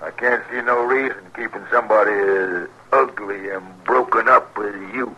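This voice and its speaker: An American actor playing a mean, sneering frontier husband in a 1950s radio western, with crude rustic speech. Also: male voice, lowish voice, fairly even intonation, moderate pace, bright, forceful tone. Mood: menacing. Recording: dull, noisy old radio recording.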